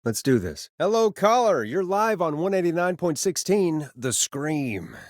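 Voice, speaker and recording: An actor playing a wry, exasperated small-town late-night radio host in a game, conversational and a little hesitant, with dry sarcasm. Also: male voice, fairly high pitch, very animated, measured pace, no echo. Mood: uncertain, welcoming. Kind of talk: scripted game voice acting, radio host patter.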